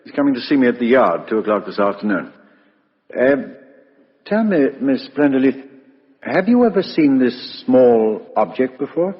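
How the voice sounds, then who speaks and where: A male actor plays a shrewd, no-nonsense English police inspector from a vintage radio play. He talks in a confiding, businesslike way at an average pitch. The sound is dull and reverberant. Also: big pitch swings, normal speed, natural voice. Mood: polite, official. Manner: businesslike, colloquial, matter-of-fact. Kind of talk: 1950s British radio drama acting.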